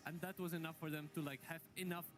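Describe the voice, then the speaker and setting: A man's voice: on the high side, quick pace, soft background music. A man working as an esports analyst on a live desk, walking steadily and methodically through how a teamfight played out. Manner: steady, methodical play-by-play breakdown.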